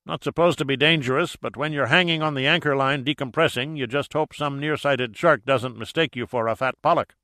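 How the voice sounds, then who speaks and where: A man's voice: mid-range voice, a little fast, few pauses, bright, forceful tone, dry recording, clean studio sound. A man voicing a hearty, blunt Irish sea captain and seasoned diver in an audiobook, with salty, colloquial talk. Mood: grave warning. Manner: colloquial, salty, vivid storytelling. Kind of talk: audiobook character dialogue.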